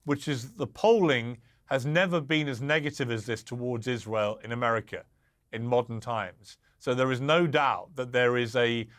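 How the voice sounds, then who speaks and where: Measured man moderating a TV debate. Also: mid-range voice, fairly bright tone, no echo, studio quality.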